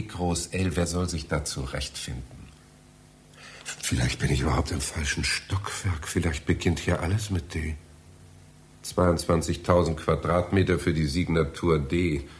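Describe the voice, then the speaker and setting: A man's voice: low-pitched, slight background noise, heavily acted. An actor in a radio play playing a fussy, bewildered male library reader who talks in a theatrical, pedantic way. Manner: theatrical, pedantic, muttering to himself.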